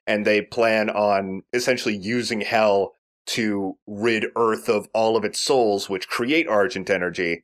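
Low-voiced man commentating on a game. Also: a bit bright. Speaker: let's play commentator.

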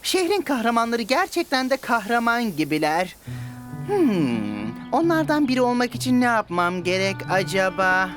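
A woman's voice: on the high side, big pitch swings, normal speed. A woman voicing a naive, scatty, eager young crow in a preschool cartoon dub. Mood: musing. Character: clumsy, eager young crow longing to be a hero. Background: music.

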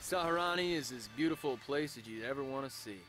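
A man's voice: medium pitch, fast talker, fairly bright tone, soft background music, lightly acted, poor audio. Man voicing a jaded army private narrator. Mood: sardonic. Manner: dry, sardonic. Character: weary cynical male American army private. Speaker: soldier narrator in game intro. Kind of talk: scripted game narration.

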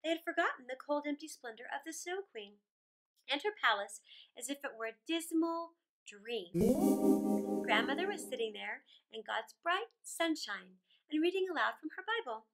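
A middle-aged woman reading a fairy tale aloud to children, expressively and theatrically, with deliberate phrasing. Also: high voice, expressive, pushed and bright, low-quality recording. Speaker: storyteller. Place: small indoor room.

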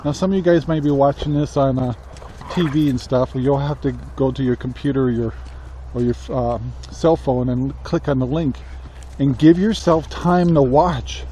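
An American man in his sixties talking casually to camera outdoors, halting, reflective and plain-spoken. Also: mid-range voice, fairly even intonation, dark tone. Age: 60s. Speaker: country living vlogger. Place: outdoors on a rural yard beside a metal barn. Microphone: camera at arm's length. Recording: faint outdoor ambient noise.

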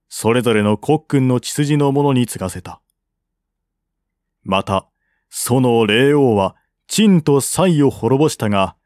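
A man's voice: mid-range voice, a little mellow, no echo. Formal male narrator, very dry sound. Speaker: audiobook narrator. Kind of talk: scripted literary narration.

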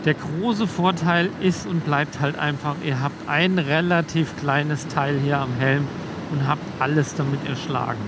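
Young man on a motorbike, helmet mic, steady road noise behind him, slightly high voice, relaxed explaining. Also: a little slow. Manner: relaxed and explanatory, with frequent fillers. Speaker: motovlogger. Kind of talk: casual motovlog talk while riding. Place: on a motorcycle riding along country roads outdoors. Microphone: helmet intercom mic. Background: road and vehicle noise.

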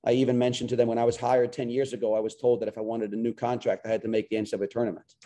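A man's voice: medium pitch, flat delivery, rapid-fire, dark tone. A man in his forties, a head coach answering questions after a game over a video call from a small locker room, earnest and restarting his sentences. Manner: earnest, repeats words when starting sentences. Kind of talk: post-game press conference answers. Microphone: video call webcam.

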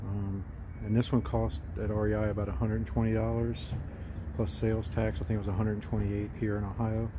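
A man in his forties gives a relaxed, plain-spoken walkthrough of a tent, filming himself on a phone outdoors in a backyard. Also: on the low side, brisk. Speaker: gear reviewer. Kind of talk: casual product walkthrough. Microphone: phone camera, handheld and at arm's length. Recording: phone recording outdoors with background noise.

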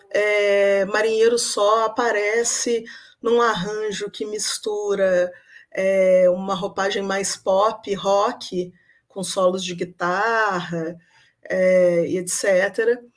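A young woman delivers an academic talk over a webcam from a room at home. Her pitch sits in the middle of the range, and she moves thoughtfully through her points with frequent hesitations and fillers. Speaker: lecturer. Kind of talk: academic talk on song and literature. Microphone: webcam on video call.

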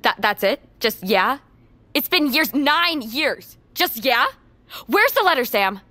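An actress playing a wounded, determined young woman in an audio drama, blunt but halting and naturalistic. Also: high-pitched, sing-song, sharp tone, no echo, heavily acted. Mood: tense, hesitant, then hurt, angry. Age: young adult.

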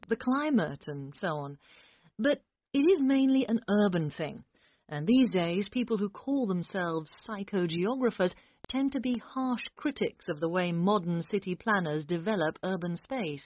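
Woman, medium pitch, conversational academic explanation, teacher, thin telephone-like recording. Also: no room sound. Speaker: college teacher. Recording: thin phone-like audio, dry.

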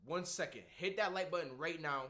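A young man co-hosting a reaction video, animated and quick to cut in, urging viewers to comment. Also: on the high side. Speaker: co-host. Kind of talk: casual reaction chat. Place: small indoor room.

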